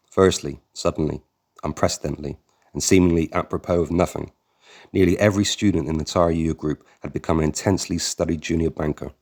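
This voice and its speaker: A man reading a first-person memoir aloud as an audiobook narrator, in a steady, measured way. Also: a bass voice.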